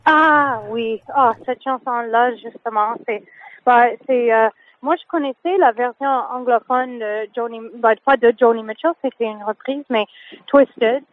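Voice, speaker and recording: Woman, English first language, talking French, fairly high voice, halting with many fillers, tinny phone line. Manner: hesitant, many fillers and restarts. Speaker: singer guest. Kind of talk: phone interview.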